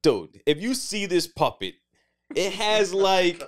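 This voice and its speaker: A man co-hosts a casual podcast in a high voice. His intonation moves around a lot while his pace stays slow. He is blunt and opinionated, speaking in slang. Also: sing-song. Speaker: podcast co-host.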